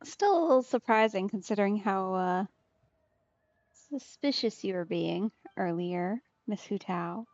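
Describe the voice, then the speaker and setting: A woman's voice: mid-range voice, lively intonation, measured pace, a little mellow, lo-fi sound. A woman streamer giving casual, wry let's play commentary, musing aloud.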